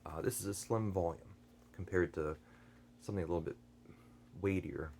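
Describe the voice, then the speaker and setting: A man hosting a book channel, talking through a review unscripted, hesitant and earnest, with many fillers and restarts and frequent throat clearing. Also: low-pitched, moderate pace, a little mellow, slight background noise. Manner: thoughtful and earnest.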